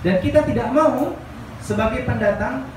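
A man's voice: extremely high pitch, moderate pace. A young Indonesian man giving an earnest religious talk to a seated gathering, repeating phrases for emphasis. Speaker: Islamic boarding school teacher. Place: crowded indoor room, seated gathering. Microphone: handheld microphone through speakers, filmed from across room. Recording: echoey noisy room, far from mic.

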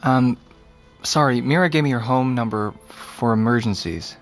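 A man's voice: mid-range voice, normal speed, soft background music, lightly acted. An actor playing an awkward, curious seventeen-year-old American boy in a naturalistic audio drama, understated in manner. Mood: nervous, apologetic. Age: young adult. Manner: hesitant, understated. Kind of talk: naturalistic audio fiction acting.

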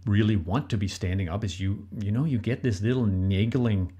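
A low, husky male voice with a Danish accent. He is a middle-aged relationship coach speaking to listeners in an earnest, reflective way and giving plain advice. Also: normal speed, warm tone. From Denmark. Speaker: podcast co-host, relationship coach. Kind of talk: solo advice talk.

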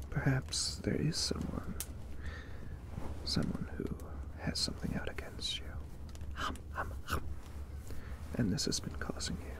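Whispering, gentle vampire catboy, played by a man. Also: medium pitch. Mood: intent, grave. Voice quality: breathy whisper. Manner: slow, hushed, formal and soothing. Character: gentle, courtly, lonely vampire catboy, soothing yet predatory. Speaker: ASMR roleplay performer. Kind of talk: soft whispered ASMR roleplay.

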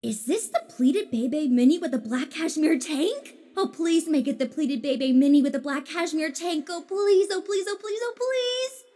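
A woman's voice: high-pitched, moderate pace, few pauses, mellow, natural voice. A woman playing an eager, naive, gushing teenage monster girl in an audio drama.

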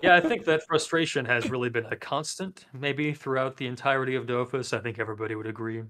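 A young man whose first language is French, talking as a podcast guest at an easy, conversational pace. He is relaxed and thoughtful, often laughing at his own stories, and comes through a desk mic over a video call. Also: medium pitch, fast. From France. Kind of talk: casual chat. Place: home room, seated at desk. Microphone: desk mic with headset, video call.

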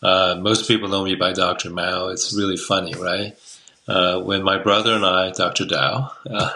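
Bright-voiced older man, rambling. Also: low voice, little pitch movement, moderate pace. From Taiwan. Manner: rambling, with many restarts and fillers. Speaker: guest, Chinese medicine doctor. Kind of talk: casual interview talk. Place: home room by a window. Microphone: video call webcam.

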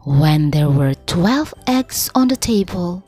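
Woman storyteller, Indonesian accent, slow, sing-song, expressive, faint music. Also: lowish voice, breathy edge. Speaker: teacher. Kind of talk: storybook read-aloud for children.

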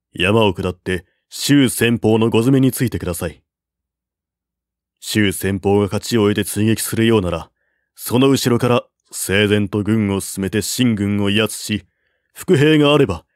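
A man voicing a calm, shrewd male strategist who counsels in a measured, persuading way, in a storybook reading. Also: on the low side, dry recording, studio quality, lightly acted.

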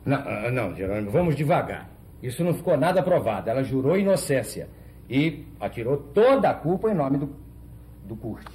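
Casting: older actor as pious old Brazilian priest, mellow, up-and-down delivery, hesitant, conciliatory; vintage TV drama. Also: male voice, medium pitch, very animated, brisk, natural voice. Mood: cautious. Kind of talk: 1970s telenovela acting. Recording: noisy old 1970s TV soundtrack.

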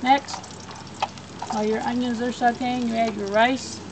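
Woman cooking instructor, fairly high voice, talks through each step in plain words; outdoor noise behind her. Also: flat delivery, unhurried. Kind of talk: cooking demonstration. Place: backyard outdoors.

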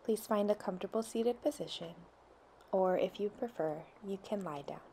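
A woman leading a guided meditation in a soft, soothing way, sometimes dropping to near whispers. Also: mid-range voice, normal speed, gravelly, slight background noise.

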